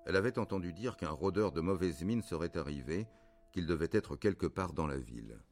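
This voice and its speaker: A measured male storyteller narrates a literary radio drama in a very low voice. Clear music plays beneath the narration, and the delivery stays formal and bookish. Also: animated, a little mellow. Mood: measured, solemn. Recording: music under the voice.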